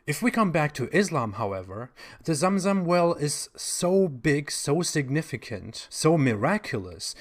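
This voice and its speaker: A man with a German accent giving a scripted commentary, with dry sarcastic asides. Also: medium pitch.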